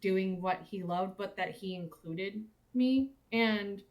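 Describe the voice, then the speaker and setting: A woman in a reflective, casual panel conversation, speaking in halting, thoughtful phrases. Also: medium pitch. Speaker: cast or crew member on a panel.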